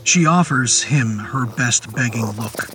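A man narrating a scripted horror story in a deliberate, literary and descriptive manner. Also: mid-range voice, a bit bright, poor audio. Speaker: narrator of a horror fiction podcast. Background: music and rain sound effects.

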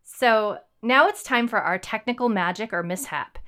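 A woman's voice: on the high side, moderate pace, bright, forceful tone. A woman co-hosting a casual home-recorded podcast, upbeat and teasing, with fillers. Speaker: writer and podcast co-host. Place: home recording setup in a house.

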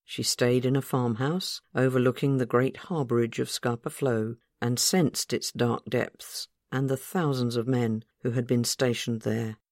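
A woman reading literary fiction aloud as a scripted narration, in a measured, careful storytelling manner. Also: extremely low pitch, steady tone, darkish tone, dry recording, studio quality. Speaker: author reading own short story.

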